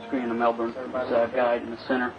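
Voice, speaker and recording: A man's voice: mid-range voice, completely flat delivery, moderate pace, background noise, natural voice. A man playing a businesslike naval watch officer in a training film reenactment, giving a routine, procedural report with halts. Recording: dull film soundtrack under loud music.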